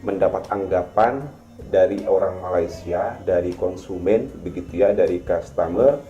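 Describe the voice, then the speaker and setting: A man from Madura, Indonesia, telling his experiences as a restaurant worker in a measured, halting way with frequent filler sounds. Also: deep voice, dark tone. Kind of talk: casual storytelling to camera. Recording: echoey, dull-sounding replayed video with faint music.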